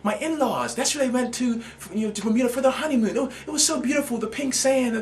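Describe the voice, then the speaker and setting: A man's voice: very high-pitched, fast talker, slight background noise, pitched above the performer's own voice. A man voicing a gushing admirer of island honeymoons in an effusive, sing-song way.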